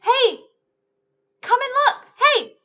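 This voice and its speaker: A woman voicing an excitable young boy in a storybook, exclaiming loudly and eagerly. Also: very high voice, slow pace, pitched above the performer's own voice, full character voice. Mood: excited.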